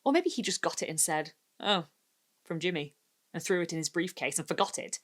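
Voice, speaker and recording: A woman's voice: lowish voice, animated, a little fast. A woman voicing a seething, frantic housewife thinking to herself in a dramatic short story reading. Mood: scheming. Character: tense, seething housewife with frantic inner thoughts.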